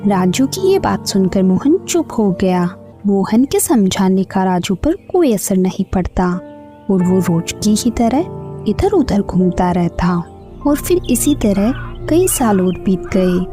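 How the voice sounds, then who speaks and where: Slow-paced woman storyteller over music. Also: mid-range voice, big pitch swings. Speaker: narrator. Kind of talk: scripted children's story narration. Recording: music bed under the voice. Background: background music.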